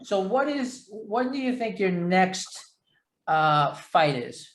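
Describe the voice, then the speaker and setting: A man's voice: high voice, big pitch swings, slow, a bit bright. A man with a New York area accent hosting a casual interview, chatty and rambling, often poking fun at himself. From New York or New Jersey area. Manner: chatty, rambling, self-deprecating. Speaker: interview host. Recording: echoey room sound.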